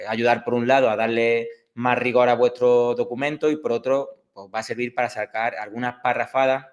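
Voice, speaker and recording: A man in his thirties from Córdoba with an Andalusian accent, introducing an online exam preparation course with many fillers and restarts. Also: mid-range voice. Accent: Andalusian Spanish. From Córdoba, Spain. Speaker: exam prep teacher.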